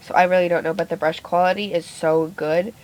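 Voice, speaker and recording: Brief: woman with a raspy voice, casual and rambly beauty vlogger, phone mic. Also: on the low side, fairly even intonation, brisk, a little mellow, poor audio. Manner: chatty, rambling, self-correcting. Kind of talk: casual makeup tutorial narration. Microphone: phone camera.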